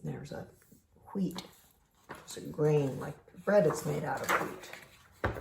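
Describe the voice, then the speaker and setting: A woman's voice: low voice, slow pace, vocal fry, noisy background. An older woman reading to a young child as a grandmother and former teacher, adding simple explanatory asides. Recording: roomy, echoing home recording.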